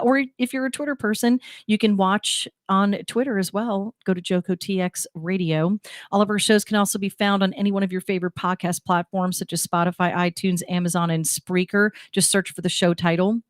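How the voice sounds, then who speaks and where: A woman's voice: on the low side, steady tone, fairly quick, no room sound. A woman from New Jersey with a New Jersey accent, hosting a radio show in casual, rambling chat with guests at a studio table. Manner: chatty, rambling, self-deprecating.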